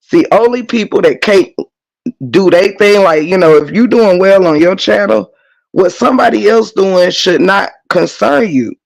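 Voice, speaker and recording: A hoarse, slightly congested man hosting a livestream, reading the chat and ranting emphatically, with lots of swearing and repetition. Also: high voice, a little slow, poor audio. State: hoarse, losing voice. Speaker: livestream host.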